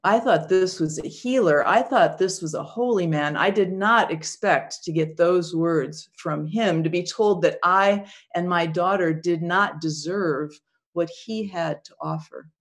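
A woman playing a defiant single mother. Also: lowish voice. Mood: wounded. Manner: earnest, deliberate, emotionally raw. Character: proud foreign single mother, stung yet defiant. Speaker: actor in a biblical monologue. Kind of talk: dramatic first-person monologue.

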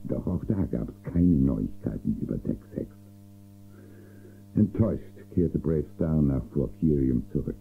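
A man's voice: a bass voice, animated, measured pace, vocal fry, faint music behind, exaggerated voice. A man narrating a scripted space-western radio drama as a grave storyteller. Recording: dull phone-like old cassette copy.

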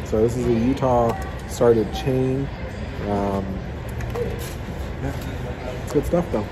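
Man, thirties, casual food vlogger, chatty between bites, mid-pitched voice, phone mic with restaurant chatter behind. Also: takes it slowly. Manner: chatty, eating between lines with satisfied hums. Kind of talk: casual food review vlog. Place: indoor restaurant dining room. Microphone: phone held at arm's length. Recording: phone audio with clear restaurant noise. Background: restaurant noise.

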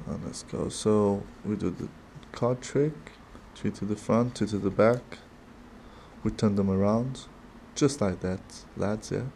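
A man with a non-native English accent talks slowly in a low, mellow voice while he opens card packs at his desk. His speech is halting and chatty, with restarts and little laughs, and a faint room hum sits behind him. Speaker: card-opening channel host. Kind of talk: casual card-opening commentary.

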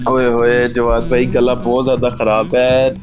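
Mid-pitched male gamer, jokey banter. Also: monotone, a little slow, highs cut off, over music. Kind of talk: casual gaming banter.

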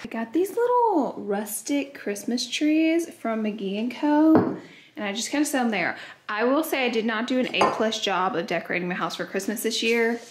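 A woman's voice: a little high, lively intonation, moderate pace, noisy background. Bubbly chatty woman in an echoey home. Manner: bubbly, chatty, talks straight to viewers. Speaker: lifestyle vlogger. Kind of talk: chatty clean-with-me vlog. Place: bright open-plan home, living room and kitchen. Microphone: camera mic, filmed at a distance while moving around. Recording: roomy echo from a large room.

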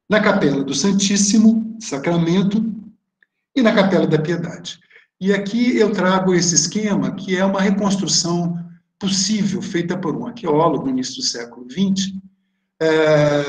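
Man, Brazilian accent, lecturer, fairly high voice, echoey video call audio. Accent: Brazilian Portuguese. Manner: reads a prepared text, then explains slides with hesitant fillers. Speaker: art history lecturer. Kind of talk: academic lecture over shared slides. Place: home room on a video call. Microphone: webcam or laptop mic on a video call.

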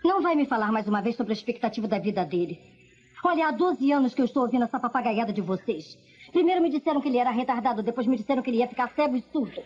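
A woman playing a fed-up, defiant, sharp-tongued mother with a Brazilian accent in a film dub. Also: fairly high pitch, expressive, very fast, faint music behind. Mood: exasperated. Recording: dull dubbed film soundtrack.

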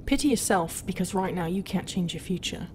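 A woman playing a proud, brooding, non-human pirate captain in a roleplay, guarded and often dropping into a half-whisper with hesitant pauses. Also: lowish voice, animated, background noise, lightly acted. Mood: wistful, grave. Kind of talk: roleplay ASMR acting.

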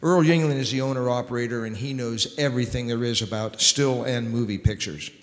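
Middle-aged male host, medium pitch, old-time radio sponsor reads, big echoing hall. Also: fairly quick. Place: stage in a wood-furnished hall. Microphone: microphone on a stand. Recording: reverberant hall.

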